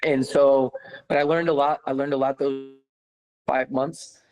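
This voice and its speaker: A man's voice: medium pitch, a little slow, rough recording. Chatty young American man telling stories. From United States. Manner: animated, many fillers, laughs easily. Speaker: guest, former footballer. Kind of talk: casual storytelling.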